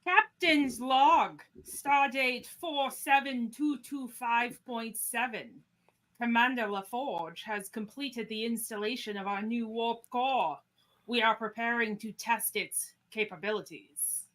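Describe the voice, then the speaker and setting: A woman playing a formal, weary starship captain with measured speech in an amateur radio play. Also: a little high, fairly bright tone, poor audio. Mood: formal log. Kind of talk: amateur live radio-play table read.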